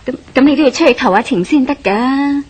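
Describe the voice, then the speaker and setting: A woman's voice: high voice, brisk, a little mellow, slightly rough. A woman playing a pushy, poor companion in a radio drama, exclaiming and goading in Hong Kong Cantonese. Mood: alarmed.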